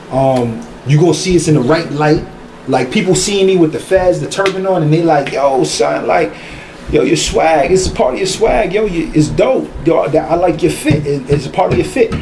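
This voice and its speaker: A man talks loosely about his music as a guest, circling back and repeating himself as he goes. His voice sits a little high and sounds mellow. The room is echoey, with faint background noise. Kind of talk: casual podcast talk.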